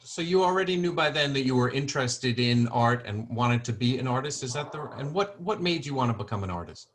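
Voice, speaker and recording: Man hosting over a video call, earnest and measured, with frequent restarts. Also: medium pitch, lively intonation, a bit bright. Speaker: host. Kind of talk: formal welcome and interview.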